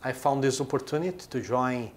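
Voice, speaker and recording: A man's voice: medium pitch, brisk, a little hiss or hum. Brazilian man explaining business plainly. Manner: explains with examples, short emphatic phrases. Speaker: chief executive of a brewing company. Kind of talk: business interview.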